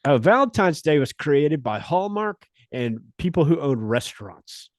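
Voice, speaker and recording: A middle-aged man hosting a casual podcast chat, rambling and halting as he talks. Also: on the high side, sing-song, dry recording, clean studio sound. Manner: rambling, halting, restarts often. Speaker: podcast host.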